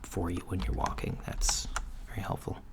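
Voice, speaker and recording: A man playing a mild, matter-of-fact alien floor manager in a soft-spoken, hesitant ASMR job interview roleplay. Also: moderate pace, close to an everyday voice. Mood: procedural. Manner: hesitant, low-key, procedural, murmured acknowledgements. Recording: lo-fi with faint background noise. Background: computer keyboard typing and clicks.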